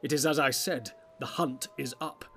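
A man's voice: medium pitch, steady tone, moderate pace, fairly bright tone, slightly rough. A man playing a grave, commanding old wizard in a fantasy audiobook, speaking in weighty, archaic, oratorical phrases. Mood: urgent.

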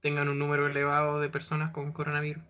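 A man's voice: fairly high pitch, monotone, quick pace, bright, forceful tone, smooth, highs cut off, soft background music. A man with a Chilean accent talking as a panelist in a casual group discussion. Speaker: podcast panelist.

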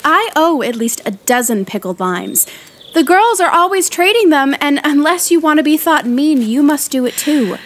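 A high, girlish voice acted by a woman for a period audio drama: a proud, excitable schoolgirl. She is prim and gushing, with affected airs. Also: normal speed. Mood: dramatic, eager. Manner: affected big words, gushing. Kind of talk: audio play acting.

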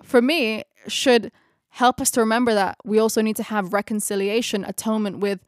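A woman's voice: a little high, steady tone, a little fast, no echo, clean studio sound. Woman leading a reflective bible study. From Philippines. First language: Tagalog. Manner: reflective, searching for words, laughs at herself. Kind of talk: closing a bible study discussion.

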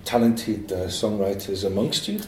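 A man with a fairly low voice interviews in a light, teasing way, with easy questions. His voice sounds roomy, with faint ambience behind. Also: fairly even intonation, moderate pace, distant mic, a little hiss or hum. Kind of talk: casual interview. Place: university student coffee room.

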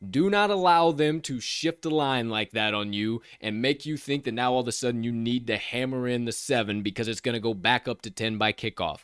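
A man's voice: medium pitch, fairly quick, few pauses, a bit bright, clear, resonant tone, dry recording, clean studio sound. A man co-hosting a sports betting show, giving picks in an emphatic, preachy way and repeating catchphrases. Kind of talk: energetic betting picks chat.

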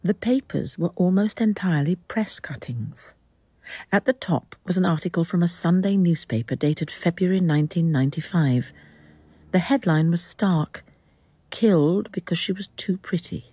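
An actress playing a sober narrator who reads out grim evidence in a radio drama. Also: female voice, on the low side, lively intonation, normal speed, dark tone, breathy edge, no echo. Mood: grave, hushed.

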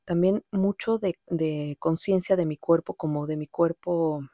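A woman's voice: on the low side, steady tone, a little slow, dark tone, no echo, studio quality. A woman with a Mexican accent hosting a podcast, telling personal reflections in an informal, rambling way with occasional English words. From Mexico City, Mexico. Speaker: podcast host. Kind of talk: casual personal monologue, reflective storytelling.

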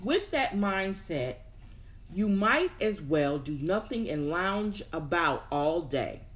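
Woman presenter, unhurried and slightly low-voiced, emphatic instructional delivery with halting asides. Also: very animated. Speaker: presenter teaching a self-improvement lesson. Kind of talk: instructional talk, reading examples with personal asides.

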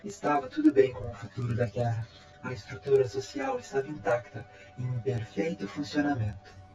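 A man playing a calm, courteous robot time traveler in an audio drama, speaking in formal, measured reports. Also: medium pitch. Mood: calm, factual. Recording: echoey, dull amateur sound, music behind.

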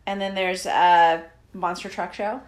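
A middle-aged mother co-hosting a casual chat podcast, teasing and chatty, often pausing on fillers. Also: fairly low pitch, steady tone. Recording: echoey room.

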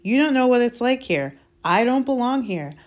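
A woman voicing an earnest, anxious boy wizard of about twelve in a storybook, speaking in a hurried, halting way. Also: on the high side, expressive, pitched above the performer's own voice, natural voice. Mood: dismayed. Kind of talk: dramatised storybook reading.

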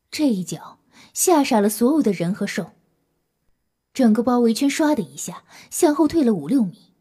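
A woman's voice: fairly high pitch, mellow, husky. A woman narrating a scripted audio drama as a storyteller, in a dramatic style.

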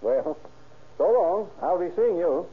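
A man's voice: high-pitched, animated, takes it slowly. An actor playing a wry, colloquial undercover man in an old radio drama. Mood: wry, uneasy. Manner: wisecracking, colloquial. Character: wry, breezy male undercover private secretary. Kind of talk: 1930s radio drama acting. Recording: tinny, hissy old radio recording.

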